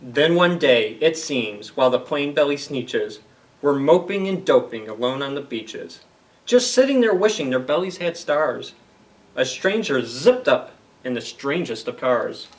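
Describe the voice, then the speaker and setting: A man's voice: mid-range voice, lively intonation, a little slow, recorded from a distance, low-quality recording. A man hosting a story time for young children, reading aloud and explaining things simply with questions to the listeners.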